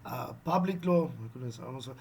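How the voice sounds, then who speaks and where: A middle-aged man from South Africa with an Afrikaans accent, giving a casual lesson on job interviews, animated and checking in often with "okay?". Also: medium pitch, fast talker, breathy edge. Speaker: online English teacher.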